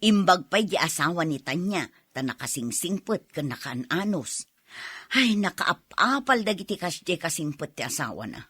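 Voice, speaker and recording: A woman's voice: on the low side, big pitch swings, moderate pace, a bit bright, husky, dry recording, exaggerated voice. A woman playing a weary older Filipino mother and wife in a radio drama. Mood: weary, grumbling. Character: older mother, weary wife venting about her husband.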